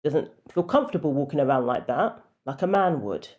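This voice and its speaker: A woman with a deep voice giving casual, opinionated commentary with wry asides. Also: lively intonation, brisk, darkish tone.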